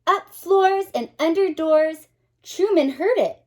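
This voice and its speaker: A woman teacher reading a picture book aloud to young children, expressive and dramatic, pausing to put questions to her listeners. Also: extremely high pitch. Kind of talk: animated children's read-aloud.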